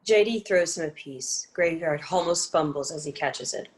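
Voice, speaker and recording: A woman's voice: deep voice, moderate pace. A woman reading stage directions in a scripted play narration, with faint noise behind her.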